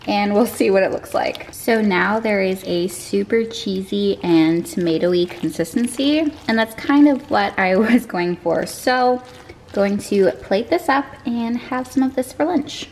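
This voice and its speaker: A young woman talks to a handheld camera in her kitchen, relaxed and chatty in a calm, even tone. Her voice sits at an ordinary middle pitch. A faint hum of room noise stays in the background. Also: echoey room. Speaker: vlogger. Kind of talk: casual food vlog chat. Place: home kitchen. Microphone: camera held at arm's length.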